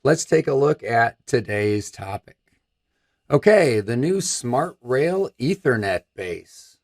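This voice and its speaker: A man's voice: mid-range voice, sing-song, slow, darkish tone. Fifty-something guy w a scratchy voice, upbeat and chatty, hosting a tech product stream. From Michigan. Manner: upbeat, chatty, gestures while talking. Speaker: host. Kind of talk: live stream product presentation. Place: room with a brick wall backdrop.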